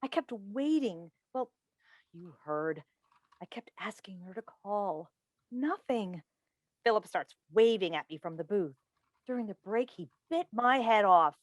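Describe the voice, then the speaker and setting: A woman's voice: medium pitch, animated, unhurried, no echo. A woman in a staged play reading, playing a chatty, coaxing radio call-in host and doting, meddling mother with an emphatic theatrical delivery. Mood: flustered, apologetic. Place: indoors on a video call. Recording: recorded over video call.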